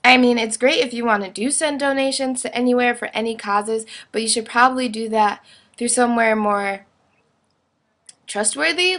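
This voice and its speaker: A woman's voice: on the high side, a bit bright. A young woman giving a casual, opinionated video monologue in a blunt, informal way, peppering her sentences with "like".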